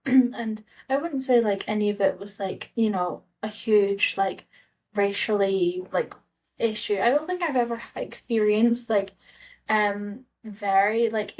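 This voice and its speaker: Scottish woman, medium pitch, casual vlog storytelling, rambling, hesitant, conversational. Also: darkish tone. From Scotland. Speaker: travel vlogger. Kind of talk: casual personal storytelling to camera.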